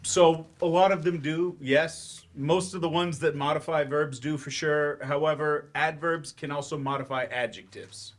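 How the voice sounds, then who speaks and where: A man acting in a staged play reading as a patient, slightly awkward English teacher who explains in measured steps with hesitant asides. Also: on the high side, steady tone, natural voice. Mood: instructive.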